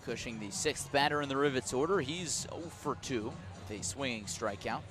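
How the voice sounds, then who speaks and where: An American man calling a baseball game live on the radio, describing play in measured, short clipped phrases. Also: medium pitch, music in the background. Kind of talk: live radio sports commentary.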